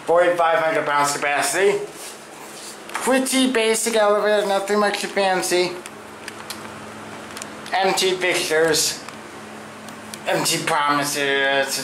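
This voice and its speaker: A man's voice: fairly high pitch, measured pace, pauses often. A man casually narrating his handheld elevator video in a department store, offhand and matter-of-fact. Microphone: handheld camera mic. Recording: echoey store with steady ambient noise.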